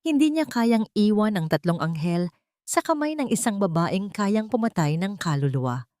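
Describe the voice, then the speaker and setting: A woman's voice: medium pitch, expressive, normal speed, warm tone, no echo, studio quality. A woman narrating a scripted dramatic story as an audiobook, with a solemn, emotive delivery.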